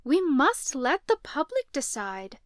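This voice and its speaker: A woman's voice: sits high, very animated, takes it slowly, dry recording, studio quality, pitched above the performer's own voice, heavily acted. A woman voicing a sly priestess who mocks with false innocence. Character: sly, mocking high priestess.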